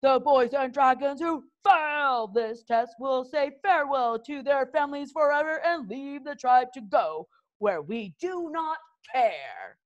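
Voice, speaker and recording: A woman doing a yelling, bad-tempered Viking drill master. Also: high voice, animated, unhurried, lo-fi sound, voice pushed up. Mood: bossy. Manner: shouting, nasty, bossy. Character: gruff, bad-tempered male Viking trainer.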